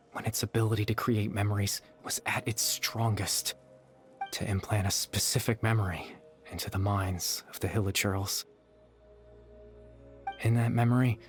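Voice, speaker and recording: Game role: male actor as gentle, sorrowful young man, low-pitched, measured confessional delivery, faint score underneath. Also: close to an everyday voice. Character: gentle, sorrowful young man, a fading remnant consciousness at peace. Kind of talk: scripted video game voice acting. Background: background music.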